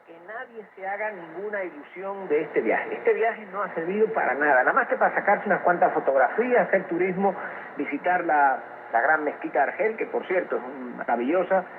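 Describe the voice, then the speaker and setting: A man giving explanatory, opinionated economic commentary as an expert guest in a radio interview, heard over shortwave radio. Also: high voice. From Cuba. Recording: noisy shortwave radio capture, narrow phone-like band. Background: loud shortwave static and noise.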